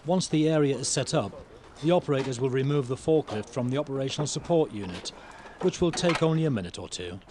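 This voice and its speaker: Man narrating over loud vehicle noise. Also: mid-range voice, expressive, fast. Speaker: training film narrator. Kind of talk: scripted instructional narration. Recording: noisy 1990s training video, engine sounds.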